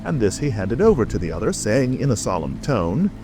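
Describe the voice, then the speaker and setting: Male audiobook narrator: lowish pitch, lively intonation, scripted storybook reading over clear background music. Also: brisk.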